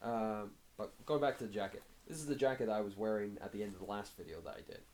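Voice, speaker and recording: Hoarse, halting man with a low voice. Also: darkish tone. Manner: halting, many filler pauses. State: hoarse from a cold. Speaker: fitness vlogger. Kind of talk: casual progress update to camera.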